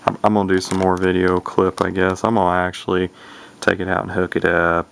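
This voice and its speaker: A deep-voiced man in his thirties talks off the cuff as he shows something to a handheld camera. His voice has a gravelly fry, and he rambles in a folksy way, thinking aloud. There is faint noise in the homemade recording. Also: monotone, brisk. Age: 30s. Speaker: vintage CB radio collector showing an unboxing. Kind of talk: casual unscripted product commentary. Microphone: handheld camera's built-in microphone.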